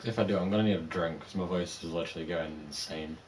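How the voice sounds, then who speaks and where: A man streaming a game, chatting casually and rambling, his voice slightly raspy. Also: sits low, steady tone, fast talker, a little mellow, a little hiss or hum. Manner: rambling, self-interrupting, laughs often. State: voice going raspy. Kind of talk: casual gaming chat. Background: background music.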